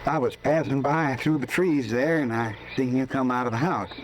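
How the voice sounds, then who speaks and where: An actor playing a lonely, charming drifter in a vintage radio drama, speaking softly and coaxingly. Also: male voice, normal speed, lightly acted, poor audio. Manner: soft, low, coaxing talk. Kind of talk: 1950s radio drama acting. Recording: hissy old radio broadcast.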